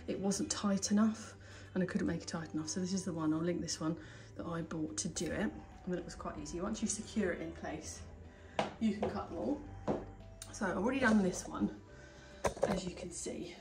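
A woman's voice: fairly low pitch, fairly quick, over music. Chatty woman doing home DIY. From United Kingdom. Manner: chatty, thinking aloud while working. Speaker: DIY home renovation vlogger. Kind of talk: casual hands-on DIY tutorial. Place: indoor hallway and staircase at home. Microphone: handheld phone or camera filming her hands.

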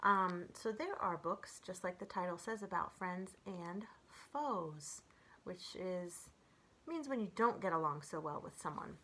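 A woman in her forties reading children's poems aloud and chatting between them in a gentle, expressive way, as a storyteller speaking to young children. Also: lowish voice, measured pace, a little hiss or hum. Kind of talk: read-aloud of children's poems with casual commentary. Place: small furnished room at home, indoors.

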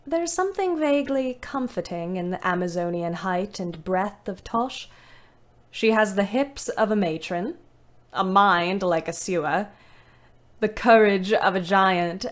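Actress as weary, wry wartime woman in her twenties, mid-pitched, measured literary diary narration for audio drama. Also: close to an everyday voice. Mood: fond, wry. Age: 20s. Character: weary, wry young British woman ambulance driver telling her diary.

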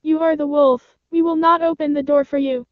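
A woman's voice: high voice, fairly even intonation, moderate pace, dry recording, soft background music, voice pushed up, close to an everyday voice. Woman piping up as defiant little goat kids. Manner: scolding, defiant. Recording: dull lo-fi sound, dry.

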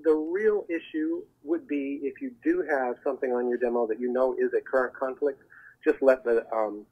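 A husky-voiced man explains things as an interview guest, calling in on a narrowband phone line. He speaks at a middle pitch and often stops to find the right word. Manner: halting, searching for words. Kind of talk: interview answers, explanatory advice. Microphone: telephone.

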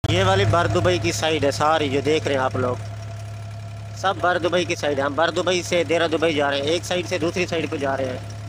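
Excited young man vlogging over loud boat noise. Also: a little high, fairly quick. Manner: excited, chatty, repeats himself. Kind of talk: casual travel vlog. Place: outdoors on a busy waterfront and boat. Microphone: phone at arm's length.

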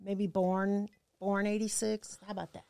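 Female podcast guest, mid pitch with plenty of creak. Wry, conversational, recorded dry. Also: flat delivery, normal speed, a little mellow, no echo. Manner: playful, wry. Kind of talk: casual chat, reminiscing.

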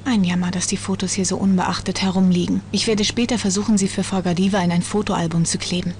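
A woman's voice: mid-range voice, flat delivery, fast, darkish tone, a little hiss or hum, close to an everyday voice. An actress playing a curious, caring teenage girl detective in a cartoon adventure game, earnest and inquisitive. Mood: concerned.

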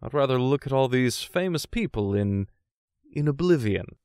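A man acts out a wealthy husband in a literary audio drama. He is aloof and arrogant, with clipped delivery at a medium pitch. The recording is very dry. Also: expressive, moderate pace, darkish tone, clear, resonant tone, no echo, close to an everyday voice. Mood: pleasantly dismissive.

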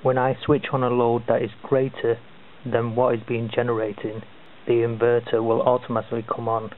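A man speaks deliberately and with little inflection, as if instructing a device. His terse demonstration lines and clipped commands come through a dull, lo-fi recording with audible hiss. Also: medium pitch, monotone. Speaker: home automation demonstrator. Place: indoors at home. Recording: muffled lo-fi with clear hiss.

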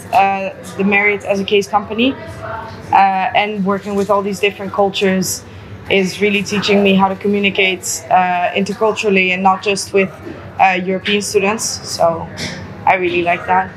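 A young woman who grew up in Switzerland talking calmly about her studies and the program. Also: mid-range voice, moderate pace, low-quality recording. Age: young adult. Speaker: hospitality student. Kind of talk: casual testimonial.

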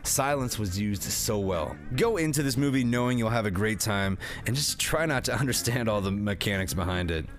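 A man's voice: fairly low pitch, expressive, moderate pace, hardly any pauses, faint music behind. A man narrating a comedic film review as host, with a jokey, theatrical, slangy delivery.

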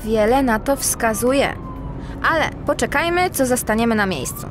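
A woman playing a businesslike, self-assured cat police detective in a children's audio drama, brisk and matter-of-fact. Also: a little high, expressive, over music, natural voice.

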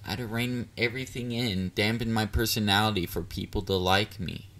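A man playing a shy, clingy, excitable young catboy boyfriend in an intimate romantic audio roleplay, hesitant and easily flustered. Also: on the low side, a little fast, close to an everyday voice. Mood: vulnerable. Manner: hesitant, vulnerable, flustered, with stammers.

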